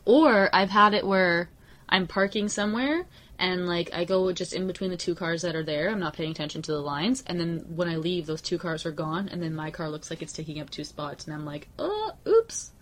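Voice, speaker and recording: A woman's voice: lowish voice, animated, normal speed. A woman co-hosting a podcast, chatting casually and putting questions to her partner in everyday words. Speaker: podcast host. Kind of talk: casual chat, conversational questioning.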